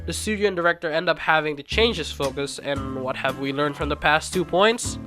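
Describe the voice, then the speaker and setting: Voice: medium pitch, a little fast. A video essayist narrating in a casual, informal and self-deprecating way, as if reading a loose script. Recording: music playing behind the voice. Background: background music.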